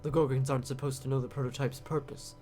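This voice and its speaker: A man's voice: mid-range voice, level tone, quick pace, darkish tone, lightly acted. Guy doing a cool scheming game character, guarded, casual but pushy, music playing behind him. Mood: measured, explanatory. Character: scheming male insider bargaining to escape, guarded and coolly casual. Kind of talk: visual novel character read-aloud.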